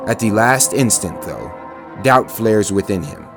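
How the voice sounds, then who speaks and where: A man's voice: on the low side, lively intonation, a little slow. A man giving dramatic scripted narration, grave and portentous in delivery. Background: dramatic music.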